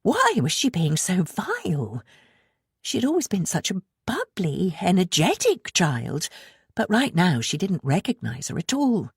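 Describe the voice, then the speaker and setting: A woman's voice: lowish voice, wildly swooping pitch, measured pace, slightly rough, no echo, studio quality. A woman reading a suspense audiobook as narrator, telling the story expressively and dramatically. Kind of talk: scripted suspense fiction narration.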